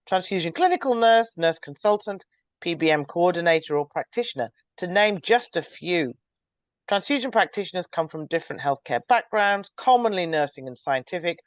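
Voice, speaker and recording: A woman in her forties or older hosting a podcast, reading a measured, scripted introduction before interviewing a guest. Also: low voice, no room sound, lo-fi sound. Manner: clear, measured, reads from a script. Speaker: podcast host, transfusion practitioner.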